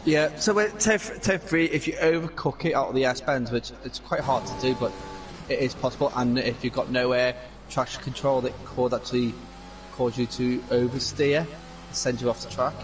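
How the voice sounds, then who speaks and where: A man's voice: medium pitch, moderate pace, background noise. A man with a Scouse accent sits in on commentary for an online racing league. He talks the listener through lap times, corners and qualifying rules in an analytical, explanatory way.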